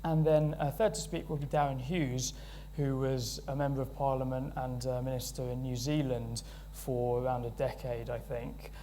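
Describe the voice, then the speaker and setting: A man's voice: mid-range voice, level tone, normal speed, few pauses, dark tone, slight background noise. A British man chairing a panel event, introducing speakers in a friendly, hesitant way with many fillers.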